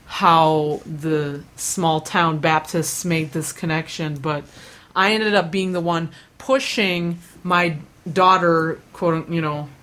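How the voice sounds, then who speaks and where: A woman's voice: sits low, steady tone, slow pace, fairly bright tone. A young woman rambling through casual stories, with lots of fillers, restarts and self-deprecating asides. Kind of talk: casual chat.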